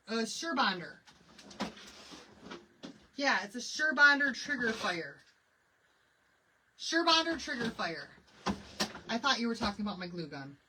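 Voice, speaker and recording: A woman's voice: a little high, pushed and bright, slight background noise, low-quality recording. A woman with a Michigan accent hosting a live crafting tutorial, chatty and laughing often as she answers viewers. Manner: chatty, laughs often, answers chat questions while working. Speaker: craft livestream host.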